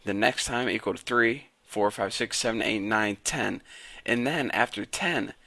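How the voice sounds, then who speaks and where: A raspy-voiced young man casually teaching a programming lesson to viewers. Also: fairly low pitch, a little slow, sharp tone, no room sound. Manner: casual, chatty, talks to viewers as guys. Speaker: programming tutor. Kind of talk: casual screen-recorded coding tutorial.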